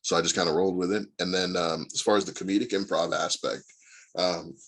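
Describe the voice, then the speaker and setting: Low-voiced husky man telling stories. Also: fairly even intonation, brisk, fairly bright tone, rough recording. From Indiana, USA. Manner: rambling storyteller with many fillers. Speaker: guest actor. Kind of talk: casual interview chat.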